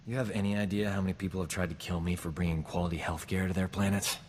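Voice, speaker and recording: A man's voice: low voice, fast talker, natural voice. Guy voicing a cocky wisecracking medic, game dialogue, long echo, faint hiss. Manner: smooth-talking, self-flattering, joking. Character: cocky boastful man, a frontier combat medic. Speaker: companion character in a video game. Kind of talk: video game character dialogue.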